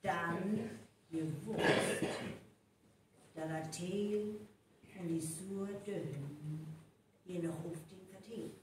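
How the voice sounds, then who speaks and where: Female detective, played by an actress, methodical, slow delivery, North Frisian radio play, heavily echoing hall, distant mic. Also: on the low side. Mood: explaining, emphatic. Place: hall with a live audience. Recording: echoey live hall recording, distant, faint noise.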